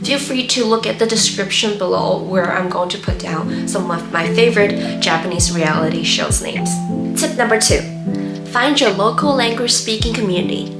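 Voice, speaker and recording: A woman whose first language is Mandarin Chinese, hosting her own channel and giving earnest, steady tips in scripted tutorial narration. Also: mid-range voice, moderate pace. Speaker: YouTube host. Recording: roomy echo with loud background music.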